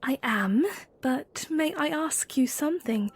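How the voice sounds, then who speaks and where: A woman playing an earnest, gentle young princess in an audio drama, speaking in a soft, tentative, measured manner. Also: high voice, soft background music. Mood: gentle, questioning.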